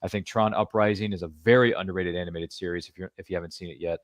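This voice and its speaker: A man hosting a casual podcast chat, his voice sitting on the low side. He rambles along with playful asides. The sound is dry and close. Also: steady tone, fast talker, no room sound. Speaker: podcast host.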